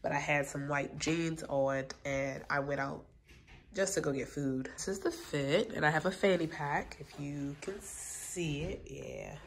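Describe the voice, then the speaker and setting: Stuffy-nosed low-voiced woman vlogging. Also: a little slow, vocal fry, slight background noise. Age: late 20s. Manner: relaxed, rambling, lots of fillers. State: stuffy, congested. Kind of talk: casual vlog chat to camera. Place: hotel room by a window.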